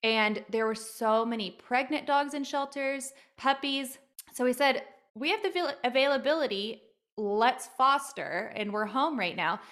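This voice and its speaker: A woman's voice: high voice, measured pace, a bit bright. A woman in her thirties co-hosting a podcast, telling a story in an agreeable, chatty way. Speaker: podcast co-host. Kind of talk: casual chat.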